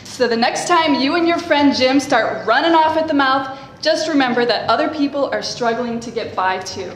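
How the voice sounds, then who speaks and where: A woman's voice: sits high, moderate pace, lightly acted. Woman playing a brassy, sarcastic bit-part actress. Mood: taunting. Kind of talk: staged play reading. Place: theater stage before a live audience. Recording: very echoey stage, faint room noise.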